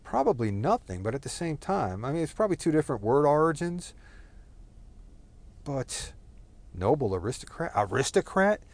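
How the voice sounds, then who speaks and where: A man's voice: fairly high pitch, very animated, moderate pace, pauses often. A man from Texas talking in a rambling, profane monologue full of fillers and digressions. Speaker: solo talker.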